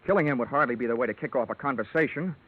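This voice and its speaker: Wisecracking hard-boiled detective, deadpan, mid-pitched, vintage radio drama, phone-like sound. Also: male voice, racing, breathy edge. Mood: dry, guarded. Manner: wry, clipped, deadpan. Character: hard-boiled, wisecracking male insurance investigator. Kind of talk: 1940s radio detective acting and narration. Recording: thin, phone-like old radio recording.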